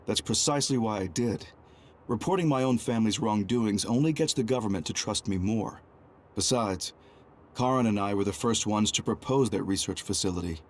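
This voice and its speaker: A man playing a composed, calculating young double agent in a video game, speaking in a measured, formal, grave way. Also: fairly low pitch, natural voice. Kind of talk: anime-style video game cutscene acting.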